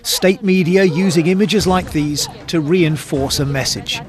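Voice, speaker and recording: A man's voice: a little high, a little slow, over music. A man narrating a scripted news report as a correspondent, with emphatic broadcast phrasing.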